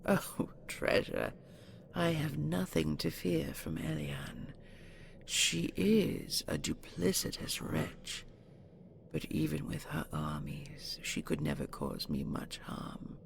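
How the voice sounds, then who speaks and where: A woman playing a possessive, seductive dragon queen in an intimate audio roleplay, slow, doting and imperious, with a breathy, gravelly whisper. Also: sits low, wildly swooping pitch, slight background noise, full character voice. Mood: dismissive, proud.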